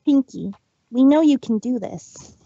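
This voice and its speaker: A man voicing a motherly middle-aged baker mare in a storybook reading, gently encouraging. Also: extremely high pitch, expressive, measured pace, vocal fry, breathy edge, lo-fi sound, voice pushed up, heavily acted.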